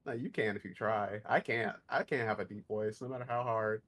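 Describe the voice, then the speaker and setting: A man's voice: on the low side, fairly even intonation, brisk, a bit bright. A man joking with gaming friends in a playful, teasing way. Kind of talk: casual gaming chat with friends.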